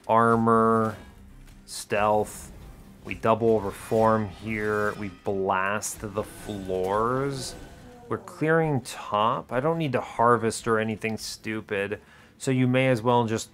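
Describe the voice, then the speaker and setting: A man's voice: lowish voice, a bit bright. A man hosting a gaming video, thinking aloud through his strategy in casual, wry commentary made of short, colloquial remarks. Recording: music behind the voice. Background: game music.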